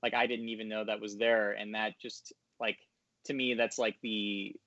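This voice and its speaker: A man's voice: lowish voice, flat delivery, moderate pace, fairly bright tone, dry recording, lo-fi sound. A man chatting casually as a podcast guest, hesitant and often searching for his words. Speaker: audio drama voice actor, podcast guest. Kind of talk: casual interview talk.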